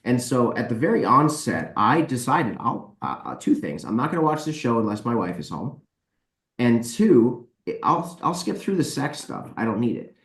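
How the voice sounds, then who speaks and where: A man's voice: medium pitch, big pitch swings, normal speed, gravelly, fairly bright tone. A man in a casual podcast discussion, speaking emphatically and repeating words to drive points home. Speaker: podcast guest, author and editor. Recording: roomy, echoey voice pickup.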